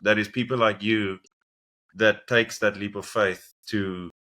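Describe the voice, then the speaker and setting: A man's voice: lowish voice, fairly even intonation, unhurried, sharp tone. A man with a South African accent hosting an interview and encouraging his guest, with small restarts. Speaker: podcast host.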